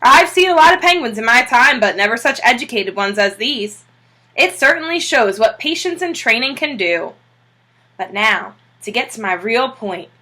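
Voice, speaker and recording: Woman acting a hearty explorer admiral, bright and lively. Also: on the high side, moderate pace. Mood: hearty, confident. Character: Admiral Drake, great bearded hearty explorer man.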